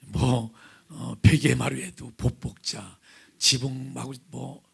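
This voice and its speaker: A middle-aged male pastor preaching with a hoarse, raspy voice from vocal nodules, often sinking to a breathy near-whisper and asking the congregation questions. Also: mid-range voice, flat delivery, slow pace, fairly bright tone. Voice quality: raspy, gravelly. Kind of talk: sermon with opening prayer. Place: church sanctuary, at the pulpit.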